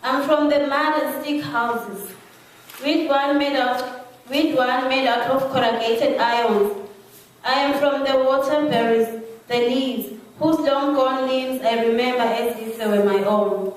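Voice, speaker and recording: A woman with a Swazi accent recites her poem aloud. Her voice is high and her delivery slow and measured. She is far from the mic in a strongly reverberant space. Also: steady tone. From Eswatini (Swaziland). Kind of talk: poetry recitation to an audience. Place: large echoey room, live event. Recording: very echoey room, distant mic.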